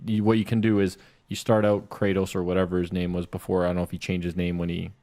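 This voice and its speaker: A man with a low-pitched voice talks casually as a podcast co-host. He explains things in a loose, rambling way, as if thinking aloud among friends. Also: monotone, quick pace, warm tone. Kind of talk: casual chat about video game movies.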